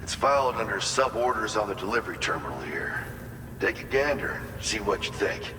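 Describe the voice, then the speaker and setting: A man's voice: on the low side, sing-song, brisk, echoey room, in a large hall, background noise. An actor playing an earnest, wistful male colonist in a video game, talking in a sincere, conversational and folksy way. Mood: businesslike, friendly. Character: earnest, wistful male colonist grieving his lost brother. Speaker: game character briefing the player. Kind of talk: cinematic video game dialogue.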